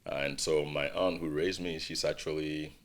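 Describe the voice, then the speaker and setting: Very deep-voiced man telling his life story. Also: normal speed. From Ghana. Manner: relaxed storytelling with frequent "you know" and "right". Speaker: podcast guest. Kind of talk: casual life-story interview.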